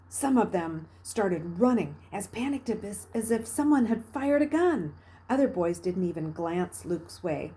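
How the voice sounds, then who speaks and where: A woman reading a children's novel aloud with expressive, dramatic narration, moving between hushed passages and emphatic bursts. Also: lowish voice. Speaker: read-aloud storyteller.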